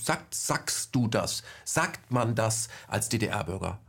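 A man's voice: lowish voice, animated, slow pace, vocal fry, a bit bright, husky. A man hosting a radio interview, asking probing follow-up questions and circling back to keep a topic going.

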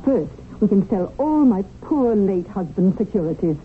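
An actress playing a greedy, manipulative temptress in a radio drama, coaxing and insinuating. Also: female voice, medium pitch, lively intonation, normal speed, lightly acted. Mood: sultry coaxing. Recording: tinny, noisy vintage radio broadcast.

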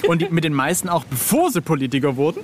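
A middle-aged man speaks as a guest in a casual interview. His voice sits a little high, and he feels his way through sentences with dry, self-deprecating humour. A faint ambient noise lies behind him. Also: very animated, fast. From Germany.

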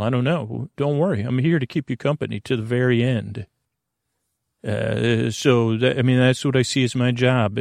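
A man with a mid-pitched, gravelly voice delivers a meandering bedtime podcast monologue. He speaks haltingly, doubles back on himself and drifts into gentle absurdity. The sound is close and dry, with plenty of vocal fry. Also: no room sound. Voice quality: creaky, gravelly. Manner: meandering, halting, digressive, with trailing self-corrections; whimsical, mildly absurd, apologetic. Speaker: podcast host. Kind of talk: rambling sleep podcast monologue.

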